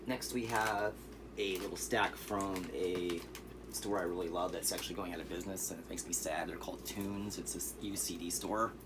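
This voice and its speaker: Hoarse, deep-voiced man rambling about finds. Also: moderate pace, noisy background. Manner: relaxed, rambling, with fillers and asides. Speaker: vlogger showing thrift finds. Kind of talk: casual vlog.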